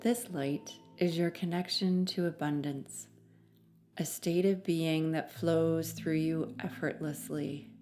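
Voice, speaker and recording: A woman narrating a guided meditation in a soothing, measured manner with long pauses between phrases. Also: sits low, fairly even intonation, dark tone, faint music behind.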